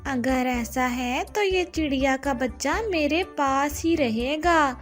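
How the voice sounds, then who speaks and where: A woman's voice: sits high, slow pace, faint music behind. A woman voicing a gloating, bossy, greedy female kite bird in a children's cartoon.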